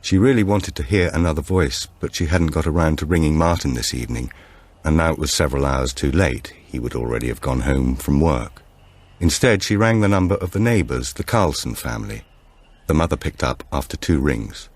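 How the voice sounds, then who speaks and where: A man reading a suspense thriller as an audiobook narrator, in a measured, scripted way. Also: a bass voice, lively intonation. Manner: measured, acts out dialogue.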